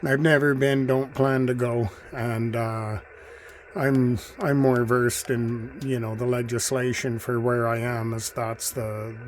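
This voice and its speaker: A man with a middling-pitched voice narrates casually, like a hobbyist sharing his pastime. He pauses and muses as he goes, with faint radio noise behind him. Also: level tone, poor audio. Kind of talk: casual off-the-cuff commentary. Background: shortwave radio noise.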